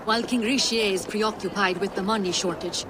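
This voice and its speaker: A woman's voice: mid-range voice, steady tone, brisk, background noise, natural voice. An actress playing an earnest, loyal, worried Norse woman in a video game cutscene.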